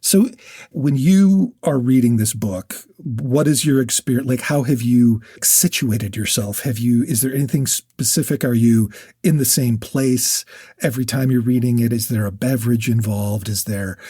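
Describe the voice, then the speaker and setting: A man's voice: mid-range voice, moderate pace, mellow, slightly rough, no room sound, studio quality. A man co-hosting a podcast, casually explaining and chatting about books, emphatic and prone to repeating himself for effect. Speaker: podcast co-host. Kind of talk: casual book chat with explanations.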